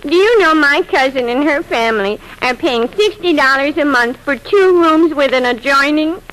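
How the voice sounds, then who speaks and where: On a noisy vintage radio recording, a dim but cheeky housemaid in a 1940s sitcom. Her voice is high and bright, and she delivers wisecracks with breezy, guileless innocence. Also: expressive, moderate pace. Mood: guileless, chatty. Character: dim, cheeky female household maid, breezily literal-minded. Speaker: comic supporting actress.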